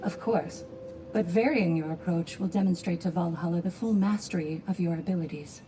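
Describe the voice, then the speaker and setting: A woman playing a wise, composed valkyrie guide in a video game, explaining in formal, measured, didactic phrases. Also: fairly low pitch, music in the background, lightly acted. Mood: calm, instructive. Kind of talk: dramatic video game acting.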